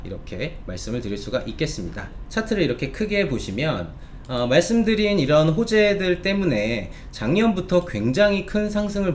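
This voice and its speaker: A man presents stock picks as the host of an online review show. His voice sits a little high and swings animatedly up and down. He speaks politely and formally, stretching hesitations between phrases, with noticeable ambient noise behind him.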